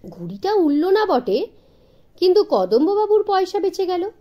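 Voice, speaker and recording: A woman narrating a children's story in an expressive, storybook style. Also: very high voice, normal speed, dull audio.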